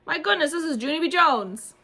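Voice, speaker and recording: A woman's voice: high-pitched, expressive, a little fast, bright, forceful tone, voice pushed up. A woman doing a jolly, joking grandpa. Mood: hearty. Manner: hearty and teasing.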